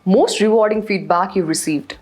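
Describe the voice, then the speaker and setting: A woman hosting an interview, reading brisk, scripted questions. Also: medium pitch, darkish tone. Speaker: host.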